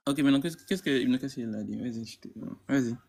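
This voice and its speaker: Male debate caller, mid-pitched, stammering and insistent. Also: fast, creaky, darkish tone. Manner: stammering, repeats words, insistent. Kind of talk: heated live debate.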